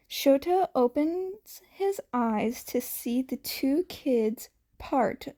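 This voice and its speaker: A woman's voice: on the high side, vocal fry, dark tone. A woman narrating a scripted story as a podfic reader, occasionally stumbling over the script.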